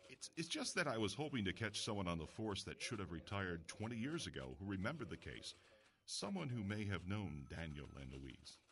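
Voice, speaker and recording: A man playing an earnest, inquisitive investigator in an audio drama, telling a mystery in a measured storytelling way. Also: low-pitched, very animated, a bit bright, full character voice. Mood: earnest, probing. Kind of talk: audio drama acting with first-person narration.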